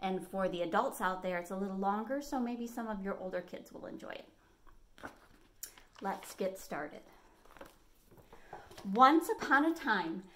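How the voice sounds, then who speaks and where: A middle-aged woman reads a children's picture book as a storytime host. Her voice sits at a medium pitch, and she tells the tale expressively, leaning on key words. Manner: animated storytelling with dramatic emphasis. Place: living room at home, indoors.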